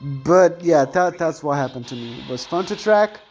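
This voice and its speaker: A man's voice: a little high, expressive, moderate pace, darkish tone, slightly rough, faint music behind. A young man with a thin voice chatting casually and rambling while commentating on his gameplay, often correcting himself mid-sentence.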